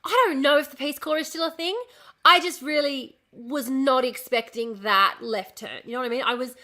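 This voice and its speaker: Young streamer, hoarse from illness, swears a lot, sharp and bright, fairly high register. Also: female voice, moderate pace. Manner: ranting, lots of swearing and restarts. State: losing her voice, coughing. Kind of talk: casual live-stream chat reading comments.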